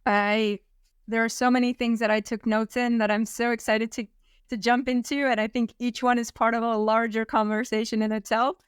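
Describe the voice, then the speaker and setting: A woman hosts a podcast with a slightly raised, clean and smooth voice. She sounds eager and engaged, stringing thoughts together casually. Her voice sits close and dry. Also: fairly high pitch, flat delivery, moderate pace, no room sound. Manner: enthusiastic, warm, laughs easily. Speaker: podcast host. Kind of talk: conversational interview hosting.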